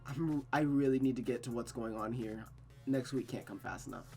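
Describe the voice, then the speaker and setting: A woman's voice: a bass voice, fairly quick, darkish tone. Woman streamer reading and commenting, music behind. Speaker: streamer reading a visual novel aloud. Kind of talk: story narration and casual game commentary.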